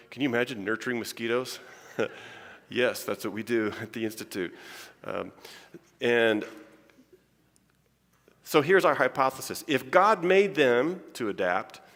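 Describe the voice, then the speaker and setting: A man's voice: medium pitch, fairly bright tone. A male scientist giving a chatty, joking conference talk, often restarting his sentences. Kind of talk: informal conference lecture. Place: large church hall. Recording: long hall reverb.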